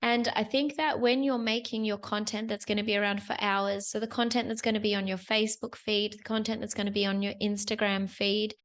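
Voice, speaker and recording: A woman's voice: medium pitch, level tone, a little fast, hardly any pauses. A young woman who has lost her voice, hosting a chatty solo business talk to the camera with repeated phrases. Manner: chatty, repeats phrases, lots of "you guys". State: hoarse, lost voice. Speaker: host.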